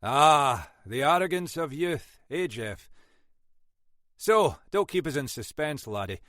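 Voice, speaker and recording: A man's voice: fairly high pitch, very animated, takes it slowly, fairly bright tone, no echo, studio quality, pitched above the performer's own voice, heavily acted. Man voicing a wry older inspector. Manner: wry, teasing banter. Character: Detective inspector Monroe, older man, wry and dry-humoured.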